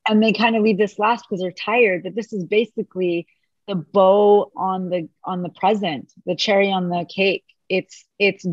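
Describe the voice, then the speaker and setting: A fast, animated woman talks as a podcast guest, her words tumbling out quickly. The sound is dry with no room around it, and a little dull and lo-fi. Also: medium pitch, no room sound. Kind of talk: casual conversation.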